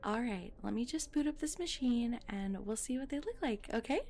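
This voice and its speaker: A woman's voice: on the high side, animated, normal speed, a little mellow. A woman playing a friendly, professional midwife in an audio roleplay, chatty and politely explaining things. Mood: cheerful, helpful.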